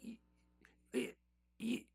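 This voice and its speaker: A woman's voice: drawn-out words, darkish tone, no room sound, studio quality. A woman playing a frightened, pleading victim in a radio drama. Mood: terrified.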